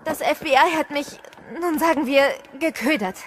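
Fugitive witness, horror audio drama, played by a woman: high-pitched, hard-edged, urgent, noisy ambience. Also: normal speed, natural voice. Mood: tense, urgent. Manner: terse, urgent. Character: tough, urgent female mafia witness on the run. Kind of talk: German audio drama acting. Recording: clearly noisy ambient effects behind voice.

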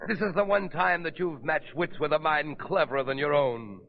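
An actor playing a cruel, megalomaniac pirate submarine commander in a grandiose 1930s radio drama style, barking orders and gloating. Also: male voice, fairly high pitch, normal speed, lightly acted. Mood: furious, defiant. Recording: muffled, noisy 1930s radio recording.

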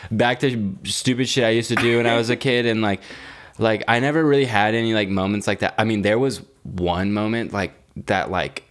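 A man's voice: lowish voice, steady tone, normal speed, background noise. A young adult man co-hosting a podcast in casual chat, telling stories with slang, swearing and a teasing manner. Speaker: podcast co-host.

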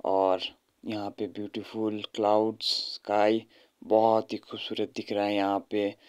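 A man's voice: lowish voice, completely flat delivery, measured pace, heavy background noise. A man casually narrating a vlog to viewers in a chatty way. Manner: chatty, addresses viewers as guys.

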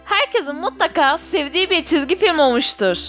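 A woman's voice: sits high, animated, a little fast, a bit bright. A woman reading an enthusiastic scripted video narration, speaking directly to viewers. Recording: voice-over with music underneath. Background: background music.

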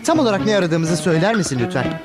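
Timid cartoon sidekick, high-pitched and swooping, nervous, hesitant delivery, loud music underneath. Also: male voice, very animated, rapid-fire. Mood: alarmed. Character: timid, anxious male superhero sidekick. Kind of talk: animated cartoon dub.

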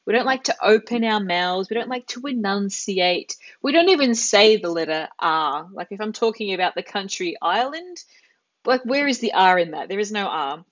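A woman's voice: medium pitch, animated, normal speed, no room sound, dull audio. A woman in her thirties with an Australian accent, teaching a live online language class in an encouraging, chatty way, drawing out words carefully. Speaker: Italian teacher. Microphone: headset.

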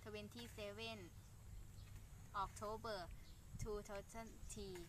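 Distant teenage girl reading slow English. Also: mid-range voice, flat delivery, noisy background. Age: teens. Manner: slow, halting beginner English. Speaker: student. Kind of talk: scripted classroom English dialogue. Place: outdoors in a park.

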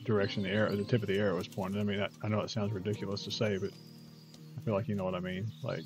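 A middle-aged man giving casual gameplay commentary, musing aloud in a low-key way. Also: flat delivery, very fast, music in the background. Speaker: gaming streamer. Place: indoor room, webcam setup.

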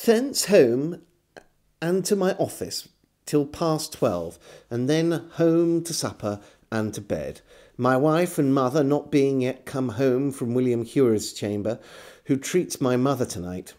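A man in his forties reads literary prose aloud at an unhurried pace. His voice is mellow, and the pitch moves freely as he gives each phrase theatrical weight and deliberate pauses. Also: animated. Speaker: storyteller reading aloud. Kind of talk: expressive literary diary reading. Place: small home room with bookshelves.

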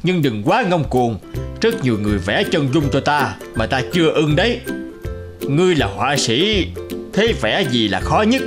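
A man's voice: on the high side, big pitch swings, moderate pace. A pompous, middle-aged mandarin from a cartoon folk tale. He swoops grandly through his words in a slightly raised voice, haughty and pleased with himself, with music behind him. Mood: self-important. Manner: haughty, self-satisfied.

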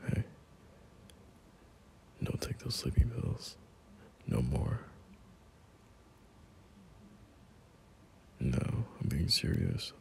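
A man playing a gentle, caring boyfriend at bedtime in an intimate ASMR roleplay, speaking softly in a breathy half-whisper with hesitant pauses. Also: rumbling low, a little hiss or hum, voice pushed down, exaggerated voice. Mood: worried, firm. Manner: soft, slow, hesitant, reassuring.

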